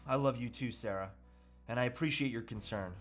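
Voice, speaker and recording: A man's voice: mid-range voice, fairly quick, soft background music, natural voice. A man playing a troubled, self-pitying husband and father who earnestly resists temptation, with hesitant, pleading delivery in a script read. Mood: sincere, grateful. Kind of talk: live table read of a movie script.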